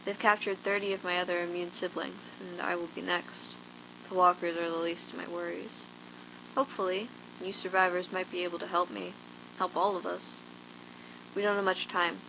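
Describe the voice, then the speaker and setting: A woman's voice: lowish voice, flat delivery, moderate pace, vocal fry, background noise. A woman playing a hardened, blunt zombie-apocalypse survivor, delivering a scripted survival log in an instructive, matter-of-fact way. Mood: grim, urgent.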